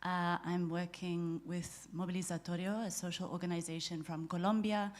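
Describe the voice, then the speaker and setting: Woman, panelist, lower pitch, brief intro. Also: flat delivery, moderate pace. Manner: brief, multilingual greeting. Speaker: panelist, social movement worker. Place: conference hall.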